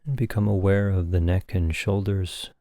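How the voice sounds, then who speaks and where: Male meditation teacher, deep mellow voice, calm gentle instruction, long pauses, close dry sound. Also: no room sound. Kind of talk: guided meditation narration.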